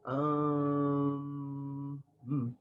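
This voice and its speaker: A woman's voice: sits low, completely flat delivery, drawn-out words, smooth, narrow, tinny audio. A woman co-host chatting casually with short interjections. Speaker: co-host, listener making interjections. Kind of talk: casual chat.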